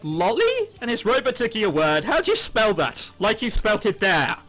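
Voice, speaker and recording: A man's voice: sits high, huge pitch swings, normal speed, fairly bright tone. A man reading a visual novel aloud, with sarcastic asides and swearing. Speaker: let's play host. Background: music.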